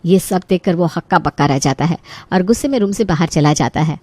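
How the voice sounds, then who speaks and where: A woman narrating a scripted animated family story as its storyteller. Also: lowish voice, fairly quick, dry recording.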